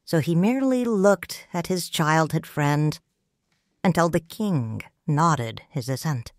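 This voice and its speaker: A woman narrating a story in first person, calm and reflective. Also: low voice, takes it slowly, dry recording, studio quality.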